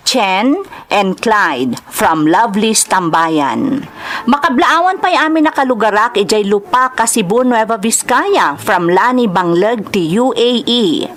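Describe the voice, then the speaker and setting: A woman with an Ilocano accent hosting a drama programme, reading out greetings and a listener's letter. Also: mid-range voice. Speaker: host and drama narrator.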